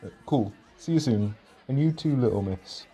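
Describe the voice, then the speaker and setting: A man playing an easygoing, considerate friend at a party in a roleplay audio, chatting in a relaxed, conversational way. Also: medium pitch, moderate pace, slightly rough. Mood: friendly. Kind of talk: casual chat in roleplay audio. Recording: music behind the voice.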